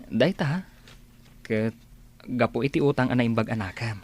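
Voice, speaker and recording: A man plays a reluctant male employee in a radio drama. He is respectful and hesitant, in an average-pitched voice. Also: normal speed, darkish tone, breathy edge, close to an everyday voice. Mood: grave, frank. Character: reluctant, deferential male employee revealing painful truth.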